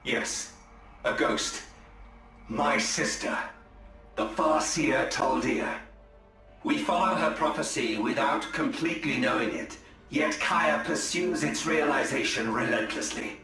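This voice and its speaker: A sly alien ranger, scheming in a fairly high, sharp voice. He is measured and insinuating, drenched in echo, in a game cutscene. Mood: knowing, secretive. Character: sly, conspiring male elf-like alien ranger. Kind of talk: dramatic video game cutscene acting. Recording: strong echo, music behind.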